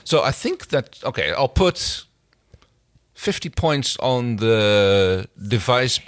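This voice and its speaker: A man's voice: medium pitch, very animated, takes it slowly, dry recording, clean studio sound. A man chatting casually as a podcast co-host about a TV show, hesitant and often rephrasing mid-sentence. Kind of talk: casual TV episode discussion.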